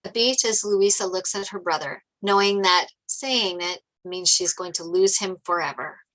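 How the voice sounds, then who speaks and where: A woman's voice: on the low side, normal speed, a bit bright, poor audio. A woman reading stage directions as narrator at a script table read.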